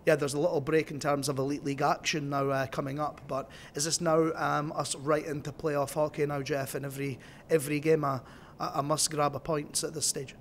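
A man's voice: fairly high pitch, level tone, a little fast, a little hiss or hum. A man interviewing a coach after a game for club TV, asking conversational, leading questions.

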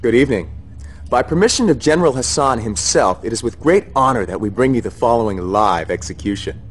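An actor playing a polished propaganda news anchor reading with lively delivery. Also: male voice, mid-range voice, fairly quick, close to an everyday voice. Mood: smooth.

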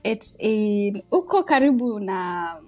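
A woman's voice: medium pitch, big pitch swings, slow. A woman in her thirties with a Kenyan accent, a podcast guest talking casually and humbly about her life, with many fillers. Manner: warm, humble, chatty with frequent fillers and laughs. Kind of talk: casual interview storytelling.

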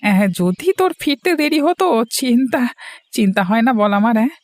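A woman's voice: fairly high pitch, big pitch swings, fairly quick, dry recording. A woman voicing a caring, mildly scolding elder brother in a cartoon moral story, with a familiar, fussing manner.

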